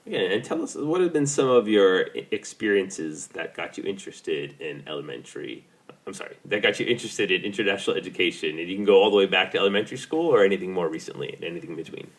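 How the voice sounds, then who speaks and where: A man co-hosting a podcast, a professor giving brisk, easygoing introductions and questions. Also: deep voice, expressive. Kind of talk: casual podcast chat.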